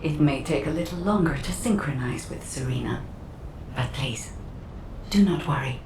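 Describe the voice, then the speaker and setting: An actress playing a serene, gently instructive AI guide in a video game, soft, measured and formal. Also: female voice, expressive, gravelly. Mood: calm, reassuring. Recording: echoey, distant, faint rumble behind.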